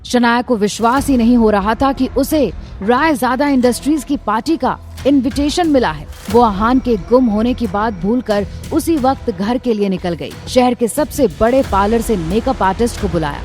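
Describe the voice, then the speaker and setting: High-pitched woman narrating a drama. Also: moderate pace, a little mellow. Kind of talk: scripted dramatic story narration. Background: background music.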